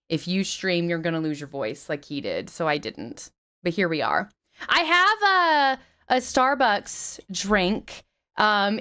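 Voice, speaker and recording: A young woman talks casually on stream through a headset mic. Her voice is still a little raspy from a recent flu. She chats loosely and curses freely, with a lively melody that leaps up for greetings. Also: mid-range voice. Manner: chatty, swears freely, animated greetings. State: slightly raspy after flu.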